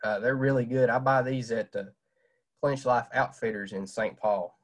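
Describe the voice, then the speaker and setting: A man's voice: medium pitch, fairly even intonation, normal speed. A young man, giving a casual fishing talk over a webcam with many "uh" fillers. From Southwest Virginia. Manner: plain-spoken, many "uh" fillers. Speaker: fishing presenter. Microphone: webcam on video call.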